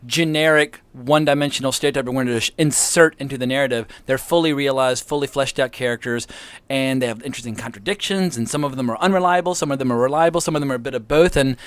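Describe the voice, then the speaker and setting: A man's voice: mid-range voice, fast, no echo. An American man co-hosting a casual film discussion, speaking in an articulate, emphatic and enthusiastic way. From United States, near the Appalachian Mountains. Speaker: guest co-host, film podcaster.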